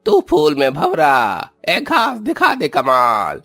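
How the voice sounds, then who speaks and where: Wildly swooping, creaky little magic bumblebee. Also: mid-range voice, huge pitch swings, slow pace, fairly bright tone, no echo, soft background music, exaggerated voice. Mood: grateful, eager. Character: magical talking bumblebee, male, small, grateful and eager. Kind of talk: cartoon story character voice.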